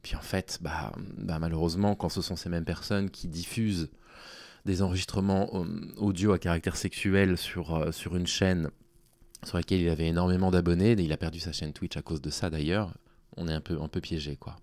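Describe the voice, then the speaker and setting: A man speaking informally to his online audience in a halting, hesitant way, with a worn-out, small, tired voice. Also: low voice, fairly even intonation, a little mellow, no room sound. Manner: halting, hesitant delivery with long pauses. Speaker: online video creator. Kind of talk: informal talking to audience, explaining personal situation.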